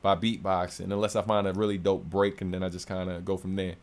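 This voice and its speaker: A man with a deep, low voice, hosting a casual livestream Q&A from his home studio in a relaxed, conversational way. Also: quick pace. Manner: relaxed, conversational, many fillers. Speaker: rapper and producer hosting a livestream.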